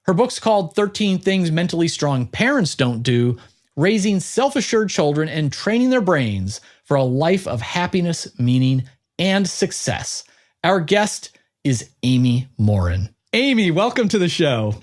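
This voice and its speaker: A male podcast host with a fairly high voice that moves around with great animation. He presents and interviews in an upbeat style. His phrasing is punchy and emphatic. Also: big pitch swings, unhurried.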